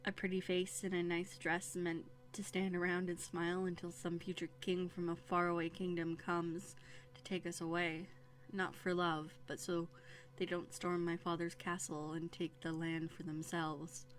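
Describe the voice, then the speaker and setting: A woman plays a bookish young runaway princess in an audio drama. The voice sits slightly low for a woman, with an earnest, conversational delivery and hesitant phrasing that carries a quiet bitterness. It is closely miked, with music underneath. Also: level tone, moderate pace, close to an everyday voice. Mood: bitter, wounded. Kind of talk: roleplay audio drama acting. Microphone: close USB condenser microphone.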